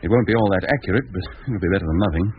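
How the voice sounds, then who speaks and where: An actor playing a firm, authoritative spaceship captain in a 1950s radio drama, brisk and decisive. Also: male voice, deep voice, natural voice. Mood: businesslike. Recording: dull, noisy 1950s radio recording.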